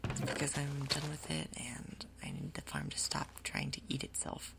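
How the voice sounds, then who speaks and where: A woman doing soft-spoken ASMR game commentary in a breathy half-whisper, with a casual, rambling, unhurried manner. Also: low-pitched, steady tone, background noise. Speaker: gaming video host.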